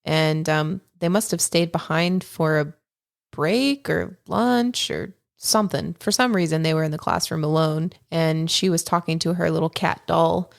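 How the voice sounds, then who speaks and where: Low, gravelly-voiced woman, chatty co-host. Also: a little slow. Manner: chatty, upbeat, laughs easily. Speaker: podcast co-host. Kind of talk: casual podcast chat.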